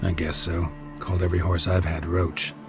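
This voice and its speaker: Man acting deep, gravelly, deadpan monster hunter. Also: normal speed, over music, natural voice. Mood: dry, reserved. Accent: American. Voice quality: low gravelly murmur. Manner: terse, deadpan. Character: stoic male monster hunter, weary, dryly sardonic. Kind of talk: video game voice acting.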